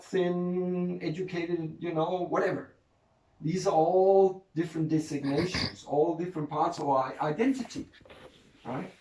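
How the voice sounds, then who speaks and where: A man's voice: sits high, darkish tone. A man giving an informal spiritual talk to a group, chatty and joking, often restarting his sentences. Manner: conversational, jokes and laughs, restarts often. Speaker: spiritual speaker. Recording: echoey room, voice from far off mic.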